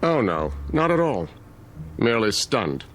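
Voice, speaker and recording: An unflappable small-town mayor in an audio drama. His low voice is measured and formal, every courtesy carrying a veiled threat. Faint music sits underneath. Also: very animated, fairly bright tone. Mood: smoothly courteous.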